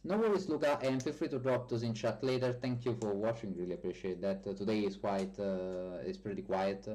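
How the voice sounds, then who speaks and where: A man's voice: fairly low pitch, brisk, dark tone. A man with a strong Italian accent and Italian as his first language, chatting casually on a live stream as a developer answering viewer questions, often correcting himself. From Italy.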